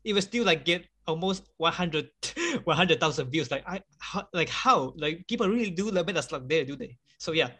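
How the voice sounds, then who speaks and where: A fairly high, bright-voiced man whose first language is Chinese talks loosely as a guest. His tone is forceful and clear, and he keeps laughing lightly between thoughts and leans on fillers as he goes. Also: lively intonation, normal speed, hardly any pauses. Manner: chatty, laughs often, many fillers.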